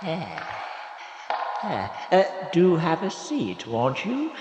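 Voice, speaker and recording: In a reverberant, faintly noisy space, an old morgue attendant played by a man tells tales for a radio play. He is courteous and hesitant, speaking very slowly with animated swings of pitch. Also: heavily acted. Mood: courteous, welcoming. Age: older. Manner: halting, courteous, full of hesitant ehs and uhs. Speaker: storyteller host of a radio horror show. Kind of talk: old-time radio storytelling. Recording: echoey hall reverb, faint noise.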